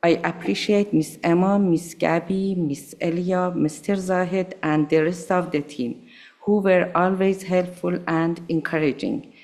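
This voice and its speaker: A woman whose first language is Farsi, reading a prepared thank-you speech at a school board meeting with careful, measured delivery. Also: on the low side, steady tone, a little mellow. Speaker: adult school student speaker. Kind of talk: prepared speech read aloud.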